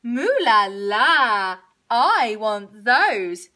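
Storybook cow: high, bright, whiny and envious, bawling with mooing drama. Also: female voice, sing-song, exaggerated voice. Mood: covetous, insistent. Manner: bawling, mooing, drawn-out wails. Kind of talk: children's storybook character voice.

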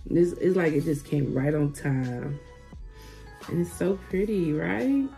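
Low-voiced woman vlogging from home. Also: lively intonation, slow, gravelly, faint music behind, low-quality recording. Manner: chatty, says "y'all" often, warm exclamations over gifts. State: recovering from illness. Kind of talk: casual vlog chat. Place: living room at home, indoors.

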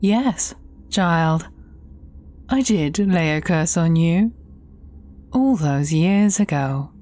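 A woman playing a menacing evil sorceress in a fairy-tale audio drama, speaking theatrically and often slipping into breathy whispers. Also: fairly low pitch, animated, very slow, mellow. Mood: amused, ominous.

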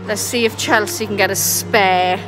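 A woman's voice: mid-range voice, steady tone, moderate pace, over music. A mother narrating a casual family vlog, chatty and cheering her daughter on at a bowling alley. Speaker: mother filming a family outing.

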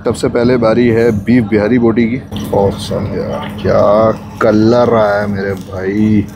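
A man's voice: on the low side, steady tone, measured pace. A man, chatting casually to viewers as a travel vlogger on a mountain picnic spot, pointing things out as he goes. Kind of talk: casual vlog narration. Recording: faint outdoor noise. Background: wind and passing vehicles.

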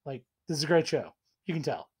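A hoarse man with a head cold talks into his webcam from a small room at home. He rambles cheerfully and pokes fun at himself while he reviews a whiskey. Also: on the high side, a little fast, a little mellow. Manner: rambling, self-deprecating, enthusiastic. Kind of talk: casual tasting vlog.

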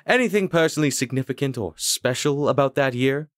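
A man voicing an elegant, mysterious stage magician in an audiobook, theatrical, measured and courteous. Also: mid-range voice, expressive, no echo, clean studio sound, pitched above the performer's own voice, lightly acted. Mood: commanding, mysterious.

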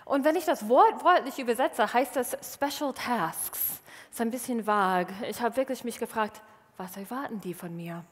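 A woman in her thirties from Wisconsin, a native English speaker with an American accent, giving a lively, self-mocking stage talk in a large hall. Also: fairly high pitch, normal speed. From Wisconsin, USA. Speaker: science slam speaker. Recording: reverberant hall.

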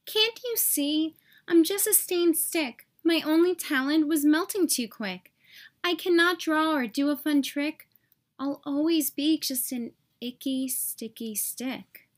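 A woman's voice: high-pitched, slow pace. A woman voicing a sad, self-doubting little male popsicle stick, whining in a plaintive, self-pitying way. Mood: dejected.

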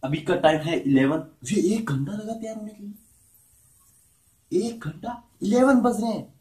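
A man's voice: high-pitched, expressive, moderate pace, warm tone, soft background music. A playful young man from India, vlogging casually to a camera held at arm's length, at home and outdoors in a village. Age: young adult. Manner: playful, jumps between Hindi and broken English. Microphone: handheld camera at arm's length.

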